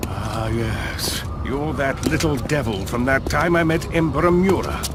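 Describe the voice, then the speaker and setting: An actor playing a proud, stern old elf priest in a fantasy game, formal and haughty. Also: male voice, medium pitch, lively intonation, a little slow, close to an everyday voice. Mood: suspicious. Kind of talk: fantasy game cutscene acting. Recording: clearly noisy background.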